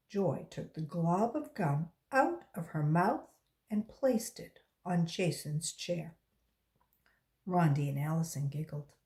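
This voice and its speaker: A woman volunteer reading aloud to children in an expressive storytelling style, acting out the dialogue with emphasis. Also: fairly low pitch.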